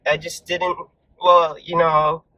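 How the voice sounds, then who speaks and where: A man's voice: a little high, little pitch movement, slow pace, sharp tone, no room sound, muffled recording. A young man casually telling a story from his school days, laid-back and acting out the voices he quotes. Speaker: vlog co-host.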